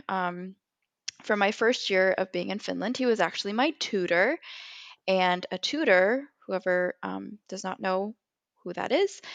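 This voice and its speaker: A woman's voice: mid-range voice, dry recording. American woman podcasting, close and dry. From California, USA. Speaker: podcast co-host. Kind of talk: casual conversational podcast chat.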